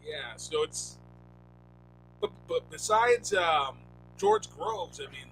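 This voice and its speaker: A man co-hosting a casual sports podcast, talking expressively with sharp jumps in pitch on key words. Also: huge pitch swings, slow pace, vocal fry. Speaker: podcast co-host. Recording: noisy line with hum.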